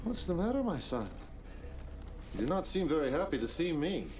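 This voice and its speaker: A man playing a charismatic, menacing cult leader in a video game cutscene, speaking in deliberate, commanding oratory with theatrical pauses. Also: fairly high pitch, big pitch swings, darkish tone, recorded from a distance, a little hiss or hum. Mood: coolly mocking.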